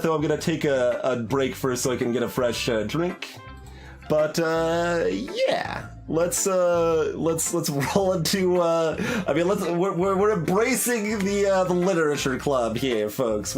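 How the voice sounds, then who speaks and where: A man chatting casually on a live game stream, rambling and wryly joking as he goes. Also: on the high side. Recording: music behind the voice. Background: background music.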